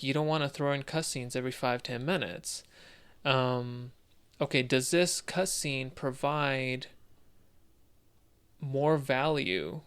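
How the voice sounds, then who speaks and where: A man with a mid-pitched, smooth voice that often drops into fry. He chats as a podcast co-host, haltingly working through his thoughts and posing questions. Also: fairly bright tone. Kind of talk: casual discussion of video games.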